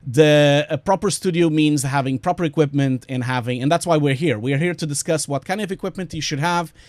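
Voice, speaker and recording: A man's voice: a little high, fairly quick, a little mellow, dry recording. Portuguese-accented man presenting a webinar. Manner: chatty, self-interrupting, frequent fillers. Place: home studio.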